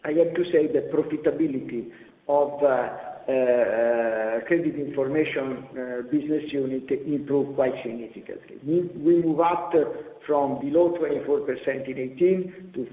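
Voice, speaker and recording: A man presenting company results as an executive on a conference call, speaking haltingly with repeated words and drawn-out pauses. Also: mid-range voice. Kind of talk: earnings conference call presentation, semi-scripted business talk. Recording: echoey hall reverb, slightly dull conference-call audio.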